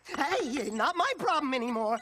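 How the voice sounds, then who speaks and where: High, panicky, jittery technician. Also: male voice, very animated, moderate pace, over music. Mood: nervous. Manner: jittery, stammering, frantic. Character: nervous, paranoid, panicky male mine technician. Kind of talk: video game voice acting.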